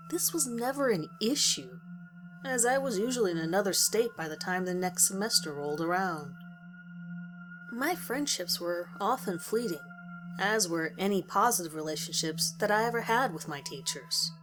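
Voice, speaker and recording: A woman reads a first-person horror story as narrator, in measured, deliberate phrases with pauses. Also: on the low side, lively intonation. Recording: music under the voice. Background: background music.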